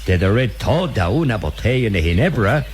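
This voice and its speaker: An actor playing a cunning, unscrupulous captain in a Latin American Spanish radio serial, with a coaxing, patronizing manner and drawn-out hesitations. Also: male voice, fairly low pitch, big pitch swings. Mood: scheming, bargaining. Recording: noisy jungle ambience with birdsong.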